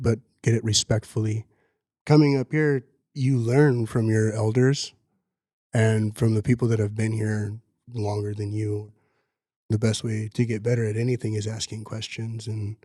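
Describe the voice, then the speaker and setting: Man in his thirties, mellow dark tone, earnest and reflective, personal storytelling, dry close sound. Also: fairly low pitch, no room sound. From Washington state, United States. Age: 30s. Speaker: community food security worker.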